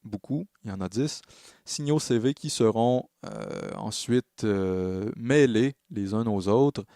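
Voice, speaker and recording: A man narrating a software tutorial as a music production tutor, explaining in a halting way and correcting himself as he goes. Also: medium pitch, clear, resonant tone, no room sound, clean studio sound. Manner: hesitant, halting phrasing with self-corrections.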